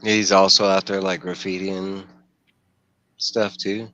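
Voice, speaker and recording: A man's voice: sits low, flat delivery, takes it slowly, pushed and bright, highs cut off. A man in his forties hosting a casual book discussion, explaining things and putting questions to the group over a headset mic. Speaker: host of a book discussion stream. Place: small room at home, video call. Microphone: headset microphone.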